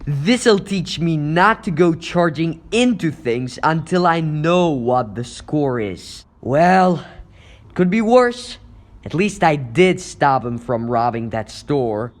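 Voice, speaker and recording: Guy doing a young superhero for a comic dub, high voice swooping all over, wisecracky and sorry for himself. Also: sing-song, takes it slowly. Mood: frustrated, self-pitying. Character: young male superhero, self-pitying, wisecracking, easily flustered. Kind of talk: animated superhero cartoon-style dub.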